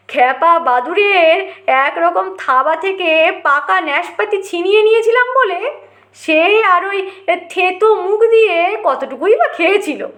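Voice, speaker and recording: A woman's voice: very high-pitched, fairly bright tone, roomy sound, full character voice. A woman voicing a boastful, touchy uncle who tells tall war tales in a blustering storyteller's way. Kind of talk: acted audiobook storytelling.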